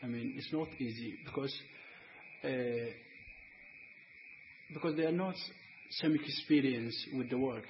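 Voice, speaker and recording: A man's voice: mid-range voice, a little slow, long pauses, a little hiss or hum. A man from Ethiopia, a student speaking at a panel discussion in broken phrasing with many fillers. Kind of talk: unscripted discussion. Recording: dull lo-fi recording, faint ambience.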